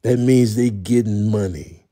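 A male comedian giving a comedic rant in slangy, emphatic, deadpan exasperation. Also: on the low side, unhurried. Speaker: comedian commentator.

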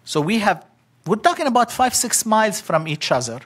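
Middle aged guy at a conference, slightly high voice, choppy phrases, lots of uhs. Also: sing-song, fairly bright tone, breathy edge. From Lebanon. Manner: halting, clipped phrases with frequent "uh" fillers. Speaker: conference speaker. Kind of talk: academic slide presentation.

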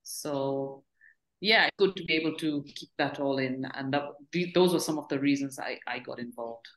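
Low-voiced middle-aged woman, tour company founder, relaxed run-on delivery, echoey call audio. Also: moderate pace. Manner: relaxed, loose run-on phrasing. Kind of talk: video call interview. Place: room at home. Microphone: video call. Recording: roomy video call audio.

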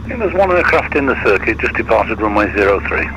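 A man's voice: lowish voice, sing-song, fast, dull audio. Male airfield operator on noisy radio. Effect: aircraft radio. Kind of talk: brief aviation radio information. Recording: noisy aircraft radio transmission.

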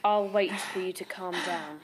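A woman playing a patient, formal interrogator in a gothic audio drama, questioning in a measured way. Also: on the low side, fairly even intonation, lightly acted. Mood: firm, formal. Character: calm, patient female interrogator, formal and probing. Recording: clearly noisy amateur recording.

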